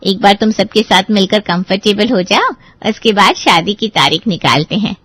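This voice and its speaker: A woman's voice: medium pitch, animated, normal speed, a bit bright, husky, dry recording. A woman playing a teasing, affectionate elder sister in a family audio drama. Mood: fond, playful. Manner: playful, teasing.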